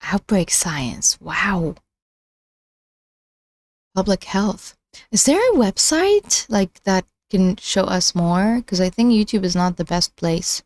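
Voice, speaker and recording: A woman hosting a casual livestream, chatting in a halting way as she thinks out loud. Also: medium pitch, animated, no echo. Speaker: livestream host.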